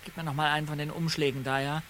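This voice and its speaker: A man's voice: fairly high pitch, flat delivery, fast talker, background noise, natural voice. Man playing whining boy detective, outdoor ambience. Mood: weary, grumbling. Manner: complaining, groaning. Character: weary, whining teenage boy detective, grumbling in the heat. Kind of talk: German audio drama acting.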